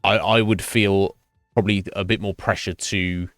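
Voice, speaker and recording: A man streaming live and chatting with viewers, thinking his answers through in a halting, thoughtful way. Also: sits low, steady tone, fairly bright tone, dry recording, clean studio sound, soft background music. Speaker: Twitch streamer.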